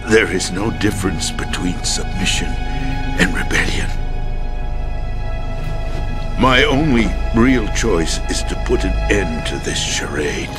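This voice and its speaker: An actor playing a resolute, urgent American president in a video game drama, solemn and commanding in his delivery. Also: fairly low pitch. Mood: weary, resolute. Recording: loud music bed under voice.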